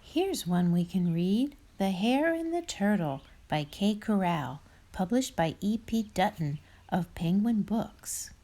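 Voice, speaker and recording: A woman reads a picture book aloud to small children. Her pace is slow and her pitch very lively, with an expressive, playful storyteller's delivery. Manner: expressive, addresses listeners playfully.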